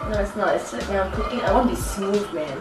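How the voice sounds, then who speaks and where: A woman's voice: medium pitch, distant mic. A young woman chatting casually and playfully while cooking at home, laughing at her own mistakes. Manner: giggly, self-mocking, playful. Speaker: home cook vlogger. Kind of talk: casual cooking vlog chat. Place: small home kitchen, indoors. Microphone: camera across the room. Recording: roomy kitchen sound from off-mic with music.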